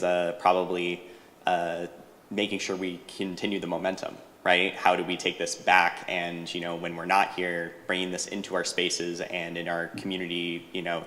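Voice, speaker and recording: A man from Oregon in the US, speaking earnestly at a conference, with a steady stream of "you know" fillers. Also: low-pitched, a little hiss or hum. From Portland, Oregon, US. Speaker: conference attendee. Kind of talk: casual reflection in a group share. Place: conference hall. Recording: reverberant hall.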